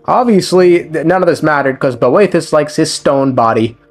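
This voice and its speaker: A man's voice: fairly high pitch, expressive, normal speed. A man giving a casual, conversational recap of a video game story as a lore commentator, with slang and swearing. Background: game music.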